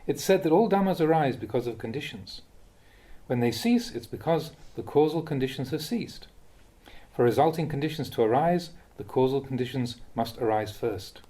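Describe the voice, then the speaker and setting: A man reading a Buddhist teaching aloud in a measured, contemplative manner, posing questions to the listener as he goes. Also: mid-range voice, dark tone. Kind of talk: read-aloud dharma talk.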